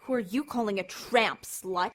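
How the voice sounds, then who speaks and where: A woman's voice: mid-range voice, normal speed, lightly acted. A woman playing a bossy, protective teenage big sister in an audio drama, snappy and intense. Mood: scornful.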